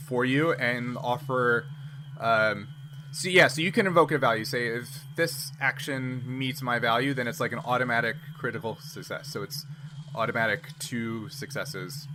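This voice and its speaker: Game master man, noisy recording. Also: mid-range voice. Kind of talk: narrating and refereeing a tabletop role-playing game. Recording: noisy group recording with room ambience.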